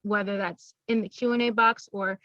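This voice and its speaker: A woman's voice: mid-range voice, fairly even intonation, normal speed, a bit bright, no echo, lo-fi sound. A young woman giving an upbeat, teacherly presentation to schoolchildren, explaining things plainly. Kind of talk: recorded classroom presentation.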